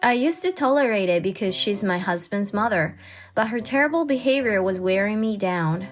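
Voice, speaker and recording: A woman's voice: medium pitch, expressive, moderate pace. A woman reading scripted first-person story narration as a put-upon wife, with music underneath. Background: background music.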